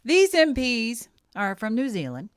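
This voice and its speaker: A woman's voice: a little high, wildly swooping pitch, a little slow, no room sound, clean studio sound. A woman giving blunt, opinionated commentary on body language, sometimes dropping to a whisper. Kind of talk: video commentary.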